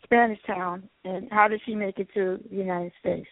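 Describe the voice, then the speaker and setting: A woman hosting a radio interview, asking brisk questions and giving short prompts to her guest. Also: medium pitch, fairly even intonation, dry recording. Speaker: radio show host. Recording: phone-line sound, dry.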